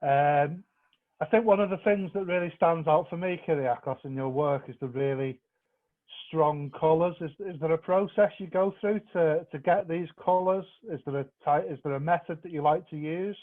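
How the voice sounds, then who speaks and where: A man interviewing artists, friendly and informal, pitched a little high. His voice sounds thin and tinny, like it's coming through a phone line on a video call. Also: moderate pace. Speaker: interviewer and arts officer. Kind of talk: hosting an online artist conversation.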